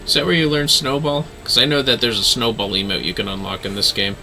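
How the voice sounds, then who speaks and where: A man's voice: medium pitch, fairly even intonation, quick pace, pushed and bright, slight background noise, rough recording. A man giving casual, chatty livestream commentary on a game he is playing, gushing and swearing freely. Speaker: game streamer.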